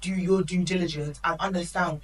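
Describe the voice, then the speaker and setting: A woman's voice: on the low side, steady tone. Opinionated woman, slightly distant mic. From Nigerian heritage. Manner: opinionated, emphatic, quick asides. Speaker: podcast co-host. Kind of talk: casual chat.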